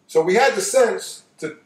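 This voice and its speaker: An older American man with a high voice, spinning a tale in loose, colloquial speech. He repeats phrases to hammer them home. He sounds set back from the microphone in a roomy space. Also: fairly bright tone, recorded from a distance. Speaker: storyteller. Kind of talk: animated personal storytelling, casual. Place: living room couch, indoors.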